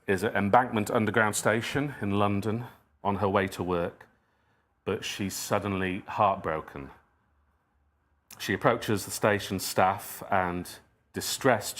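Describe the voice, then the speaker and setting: A man's voice: fairly low pitch, a bit bright. A man giving a prepared talk, telling stories reflectively with wry, personal asides. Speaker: speaker giving a talk, writer of plays.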